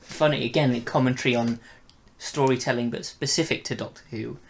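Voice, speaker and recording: A man's voice: medium pitch, fairly even intonation, fairly quick, creaky, slight background noise. A man hosting a casual review discussion, talking conversationally. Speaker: podcast review host.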